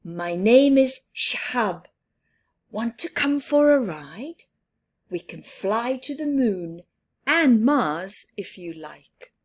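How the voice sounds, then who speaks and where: A woman's voice: fairly high pitch, expressive, slow pace, slightly rough, exaggerated voice. A woman voicing a friendly astronaut man. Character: friendly grown-up male astronaut.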